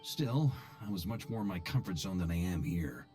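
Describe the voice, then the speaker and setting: An actor playing a charming, self-deprecating young adventurer in a fantasy game, talking in a casual, wry way. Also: male voice, sits low, expressive, moderate pace, a little mellow, faint music behind. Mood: uneasy.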